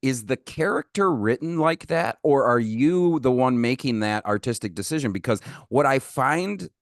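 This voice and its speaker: A man's voice: mid-range voice, lively intonation, moderate pace, no room sound, studio quality. A man hosting a podcast interview, asking long, winding questions full of restarts and gushing praise. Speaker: podcast host.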